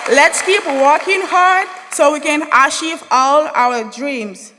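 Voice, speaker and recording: A woman's voice: high voice, takes it slowly, pushed and bright, some chatter behind. A young woman from Haiti, giving an earnest, spirited graduation speech as a student speaker in a large hall. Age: young adult.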